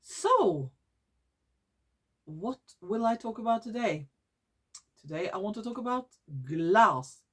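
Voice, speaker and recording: A woman from Norway with a Norwegian accent, hosting her own vlog in English, which is not her first language, often pausing to search for words and restarting her sentences. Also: medium pitch, big pitch swings, fairly bright tone, breathy edge. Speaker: YouTube channel host. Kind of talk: casual vlog monologue.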